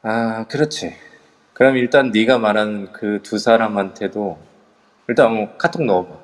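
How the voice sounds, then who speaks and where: A man playing a young male group leader who gives brisk orders in an amateur audio drama. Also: fairly low pitch, close to an everyday voice. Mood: lively. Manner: animated, commanding. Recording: reverb-heavy online audio.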